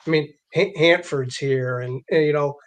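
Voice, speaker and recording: A man co-hosting a casual sports talk show, speaking haltingly as he repeats words and rephrases. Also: fairly high pitch.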